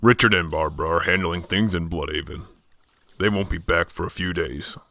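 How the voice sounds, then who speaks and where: A man's voice: low-pitched, normal speed, creaky, bright, forceful tone, dry recording, exaggerated voice. A man playing a stubborn, brooding masked vigilante in a radio drama, terse, grim and resolute. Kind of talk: radio drama acting and first-person narration.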